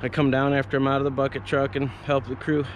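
A man's voice: mid-range voice, monotone, fast, noisy background. A man in his thirties, an arborist, giving a casual, rambling gear review from up a tree outdoors on a head-mounted camera, full of fillers and restarts. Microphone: head-mounted action camera.

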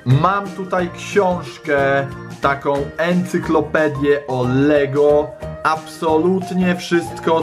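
A young man presenting a playful, informal toy review show in an enthusiastic, slangy way. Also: a little high. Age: young adult. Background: background music.

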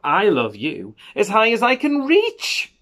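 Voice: medium pitch, expressive, takes it slowly, a bit bright, voice pushed up. A storyteller voicing a young, loving little male hare with earnest, sing-song declarations in a picture-book tale. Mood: eager, loving.

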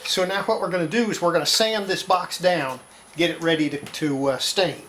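A woman's voice: lowish voice, moderate pace. An older woman gives casual, matter-of-fact hands-on narration, talking while she works as a restorer demonstrating repairs. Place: indoor home workshop. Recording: roomy workshop, loud sanding and rubbing noise.

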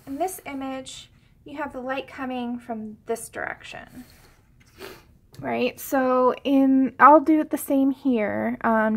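A woman with a high voice, sniffly from a head cold, talks her way through a coloring tutorial at her desk. Her speech wanders and restarts, full of ums, and now and then she drops to a soft whisper while she draws. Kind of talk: casual real-time drawing tutorial.

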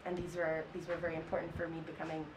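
A woman's voice: deep voice, completely flat delivery, racing, distant mic, very noisy. A woman in her twenties from Kenya, a school counseling intern, giving earnest interview answers with fillers and restarts while sitting outdoors on a school campus. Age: 20s. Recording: voice set back from the mic, music underneath.

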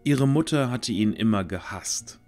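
A man narrating a scripted fantasy audio drama in a measured storytelling manner. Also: mid-range voice, lively intonation, a little mellow, soft background music.

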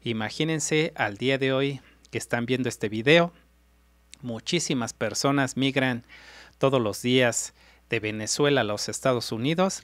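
A man's voice: mid-range voice, no room sound. A middle-aged man hosting a reaction vlog, talking earnestly to his viewers and repeating his points. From Mexico. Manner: earnest, repetitive, addresses viewers directly. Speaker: reaction video host. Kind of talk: reaction commentary. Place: indoor room before a city backdrop. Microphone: large desk mic with in-ear monitors.

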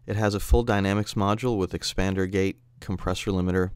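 A man narrating a software tutorial as an instructor, explaining in a conversational way. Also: deep voice, steady tone, quick pace, smooth, no room sound. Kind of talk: tutorial narration over screen demo.

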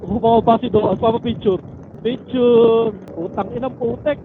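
A man's voice: extremely high pitch, fairly even intonation, unhurried. A Filipino man chatting casually and crudely with friends, swearing freely, while out on a motorcycle ride. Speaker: rider in a group of friends. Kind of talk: casual banter. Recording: thin phone-like intercom, vehicle noise. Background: vehicle and outdoor noise.

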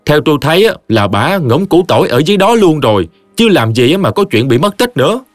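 A man voicing a blunt, know-it-all village man who talks fast in a raised voice. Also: on the high side, big pitch swings, dry recording, soft background music, voice pushed up.

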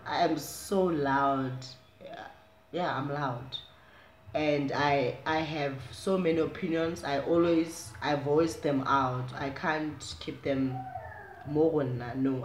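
A young South African woman with a low voice, chatting casually to the camera in a small room at home, animated and chatty. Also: slow, slight background noise. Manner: chatty, animated, gestures while talking. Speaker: vlogger. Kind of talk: casual direct-to-camera chat. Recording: echoey room.

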